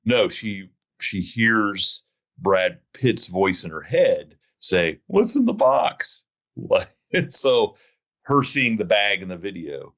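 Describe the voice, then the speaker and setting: Low-voiced rambling podcast host. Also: male voice, sing-song, slow, a bit bright. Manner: rambling storyteller, self-mocking. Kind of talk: casual chat.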